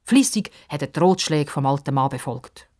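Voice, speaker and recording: A woman's voice: low voice, expressive, moderate pace, darkish tone. A woman with a Swiss accent narrating a children's story expressively.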